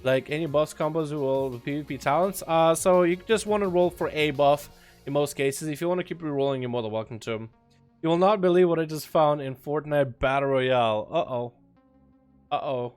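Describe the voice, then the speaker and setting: A man's voice: on the high side, moderate pace, darkish tone, smooth, faint music behind. A young man from Russia with a slight Russian accent, streaming a game and chatting in a loose, joking way with his viewers. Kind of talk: casual livestream chat.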